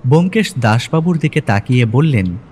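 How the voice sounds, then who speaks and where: A man's voice: mid-range voice, lively intonation, brisk, darkish tone. A man narrating a scripted audio story in the first person. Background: clear music.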